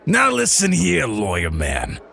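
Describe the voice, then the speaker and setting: A man's voice: medium pitch, wildly swooping pitch, a little slow, vocal fry, pushed and bright, exaggerated voice. A man voicing a big, bald, bearded bar thug who is menacing, swaggering and foul-mouthed in an audio drama.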